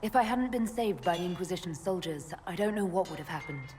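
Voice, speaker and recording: An actress playing a composed, resolute young elf warrior in a video game, speaking formally and directly. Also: female voice, on the low side, lively intonation, brisk, darkish tone, slight background noise, natural voice. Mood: calm, candid. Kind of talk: video game dialogue acting.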